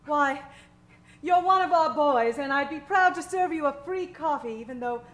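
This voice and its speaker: Gushing, high-voiced diner waitress. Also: female voice, normal speed, fairly bright tone. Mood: flustered admiration. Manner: gushing, hesitant, earnest. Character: eager, flustered, kind small-town diner waitress. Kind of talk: old-time radio comedy acting.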